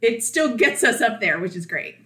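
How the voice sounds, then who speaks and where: A woman co-hosting a podcast in casual chat, talkative and quick to laugh, often acting out what others said. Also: on the high side, lively intonation, pushed and bright, echoey room. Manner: chatty, laughs often, acts out quoted voices. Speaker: podcast co-host.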